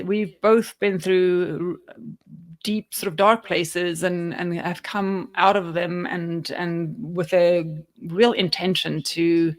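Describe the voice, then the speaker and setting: Podcast co-host: South African woman, 50s, lower voice, reflective, chatty with restarts. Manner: warm, reflective, many restarts and fillers. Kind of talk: casual conversation. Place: home office.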